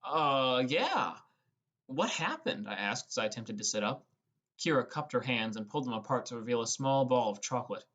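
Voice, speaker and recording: Fantasy audiobook narrator, first-person storytelling, medium pitch, scripted reading. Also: quick pace, muffled recording.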